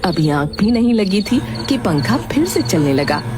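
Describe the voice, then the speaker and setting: A woman narrates a children's story in a scripted storybook style. Music plays clearly behind her voice throughout. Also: medium pitch, sing-song, normal speed.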